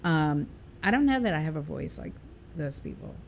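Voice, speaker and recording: A woman's voice: sits low, very animated, moderate pace, creaky, slight background noise. A woman, a radio guest talking casually with many fillers and restarts.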